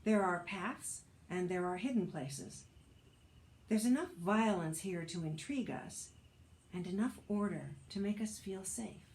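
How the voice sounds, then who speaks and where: A woman reading a personal essay aloud as a narrator, with a wry, measured storytelling delivery. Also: mid-range voice, darkish tone. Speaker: audiobook narrator, actor and author reading an essay.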